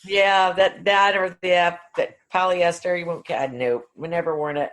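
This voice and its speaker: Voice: medium pitch, fairly even intonation, moderate pace, a bit bright. Blunt, informal podcast co-host. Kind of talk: casual chat.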